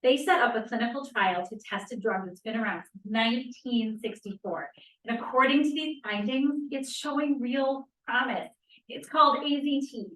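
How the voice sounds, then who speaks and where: A woman's voice: fairly high pitch, moderate pace, a bit bright, slight background noise, natural voice. An actress playing a practical, proud woman who chairs an activist meeting, in a table read of a play. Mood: upbeat. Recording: roomy, distant room microphone.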